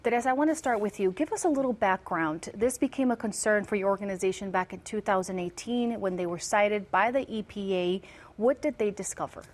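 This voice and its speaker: A woman hosting a TV news interview, briskly introducing guests and asking questions. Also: medium pitch. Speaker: TV news host.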